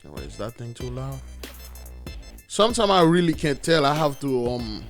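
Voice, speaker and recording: Raspy guy preaching on his own channel, leans hard on words, low music in the back. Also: very animated, moderate pace. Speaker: religious video host. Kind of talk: preaching commentary. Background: faint music.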